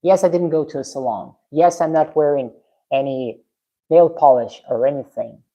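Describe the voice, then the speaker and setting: A woman's voice: low voice, moderate pace, dark tone, low-quality recording. A woman from Russia, chatting frankly on her own live stream from her garage and answering viewers' comments. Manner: frank, blunt, restarts often. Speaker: livestream host. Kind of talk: casual live stream chat, reading comments. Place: home garage.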